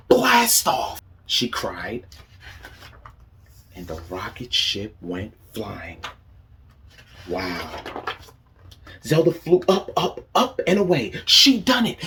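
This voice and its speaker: A man's voice: fairly low pitch, fairly bright tone. A man reading a picture book aloud to young children as a teacher, asking them questions and praising their answers.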